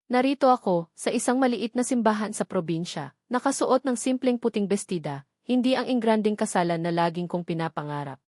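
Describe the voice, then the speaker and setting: A woman delivers dramatic first-person story narration. Her phrasing is deliberate and emotive, at a middling pitch. The recording is very dry and close, with no room around the voice. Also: animated, a little mellow, no echo.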